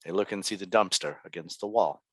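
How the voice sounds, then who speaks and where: Deep-voiced male script narrator, dry sound. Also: a little fast, husky, dry recording. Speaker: narrator reading stage directions. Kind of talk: scripted narration at a table read.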